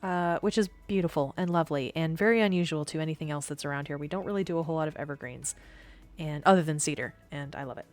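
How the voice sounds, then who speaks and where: A woman's voice: sits low, quick pace, darkish tone, soft background music. A woman from Missouri chatting casually while streaming a game, explaining things in rambling bursts with many restarts. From Missouri, USA. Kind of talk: casual chat while gaming.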